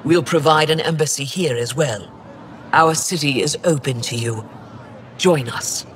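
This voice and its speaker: Diplomatic, dignified alien leader, lightish pitch, game drama, slight background noise. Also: male voice, unhurried, a bit bright, some chatter behind. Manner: formal, diplomatic. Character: stately, welcoming alien governor.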